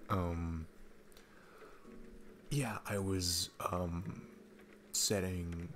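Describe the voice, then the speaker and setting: A man playing a shy, sweet single dad smitten with his babysitter, speaking gently and haltingly in a romantic ASMR roleplay. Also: deep voice, fairly even intonation, slight background noise, heavily acted. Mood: flustered, shy.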